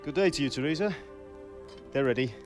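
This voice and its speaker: A man acts a medieval village smith in a game drama, middle-aged and fatherly. He has a mid-pitched voice and talks plainly, like someone used to teaching his craft. Music plays behind him. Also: lively intonation, a little fast, close to an everyday voice. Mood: friendly. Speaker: voice actor. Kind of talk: dramatic game cutscene acting.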